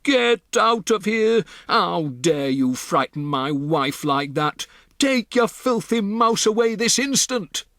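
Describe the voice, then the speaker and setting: A man voicing a blustering, hot-tempered gentleman who shouts in outrage. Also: sits high, animated, slow pace, dry recording, pitched above the performer's own voice, exaggerated voice. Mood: furious. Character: blustering mustached man, hotel guest, angry.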